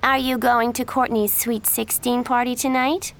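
A woman voicing a catty teenage girl who spreads gossip, in a sweet, needling, high voice, in an audiobook reading. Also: flat delivery, moderate pace, fairly bright tone, slight background noise. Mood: sly sweet.

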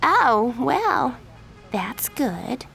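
A woman's voice: moderate pace, gravelly, faint music behind. A woman putting on a sugary, sly teenage gossip. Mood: sly sweet. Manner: sweet, needling, high and bright.

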